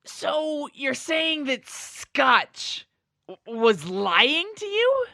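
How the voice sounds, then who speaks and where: Woman voicing a cocky, high-pitched young pegasus colt. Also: sing-song, drawn-out words, vocal fry, sharp tone, no echo, clean studio sound, heavily acted. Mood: casual, musing. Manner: boastful, casual, slangy. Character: brash, cocky young male pegasus pony. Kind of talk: character acting in a fan-fiction audio story.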